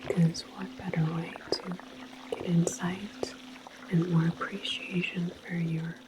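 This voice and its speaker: A woman whispering softly in an ASMR sci-fi roleplay as a calm, musing, reassuring station attendant. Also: fairly low pitch, measured pace, heavy background noise. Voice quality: soft, breathy whisper. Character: calm, philosophical attendant at a futuristic portal station.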